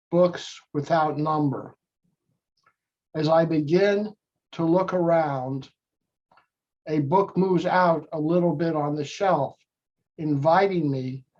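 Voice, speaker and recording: A man leading a guided meditation, speaking in measured short phrases with long pauses between them. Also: a little high, a little mellow. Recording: dull lo-fi audio.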